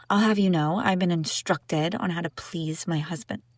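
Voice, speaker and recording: A woman playing a sharp-tongued young princess bride who is secretly lovesick, in an intimate audio roleplay, teasing and indignant with sighs and broken-off phrases. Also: on the low side, moderate pace, no echo, studio quality. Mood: indignant, proud. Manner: teasing, indignant, sighing, breaking off mid-sentence. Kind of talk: intimate scripted audio roleplay addressed to listener.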